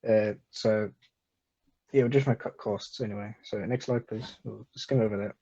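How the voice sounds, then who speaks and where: Bloke, crude mocking slide show, husky mellow voice. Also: male voice, lowish voice, steady tone, moderate pace. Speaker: comedy presenter. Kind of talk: joking slide presentation. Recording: dull lo-fi recording.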